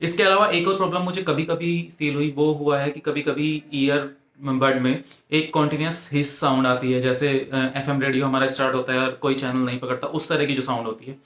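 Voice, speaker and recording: A man's voice: medium pitch, little pitch movement, brisk, fairly bright tone. A man with an Indian accent giving an informal, methodical product review, explaining point by point and mixing in English phrases. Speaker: tech reviewer presenting an earphone review. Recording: echoey, roomy sound.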